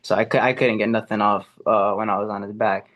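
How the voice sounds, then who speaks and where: A man's voice: fairly low pitch, level tone, a little fast, fairly bright tone. A young man, an amateur fighter, talking eagerly in a casual podcast interview, with many fillers and restarts. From America, Ecuadorian and Puerto Rican family. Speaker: amateur MMA fighter, guest.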